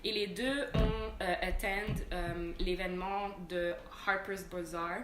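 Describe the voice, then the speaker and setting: A woman's voice: on the low side, fairly even intonation, slow, a bit bright, a little hiss or hum. A young woman with a Quebec French accent co-hosting a casual chat, switching between French and English mid-sentence. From Montreal. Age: young adult. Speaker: co-host. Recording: echoey room with faint ambience.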